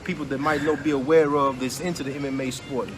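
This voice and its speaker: A man hosting a relaxed, joking interview, asking questions in a laid-back way. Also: medium pitch, fast, over music. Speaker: interview host. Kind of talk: casual interview chat.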